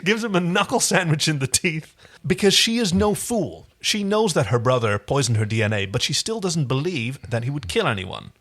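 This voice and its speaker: Animated, higher-voiced man chatting. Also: normal speed. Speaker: podcast co-host. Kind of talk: casual TV episode recap chat.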